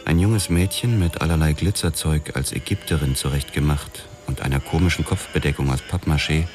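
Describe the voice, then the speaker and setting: An actor narrating as a hard-boiled police detective in a radio drama, terse and dry. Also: male voice, a bass voice, brisk, a little mellow, soft background music.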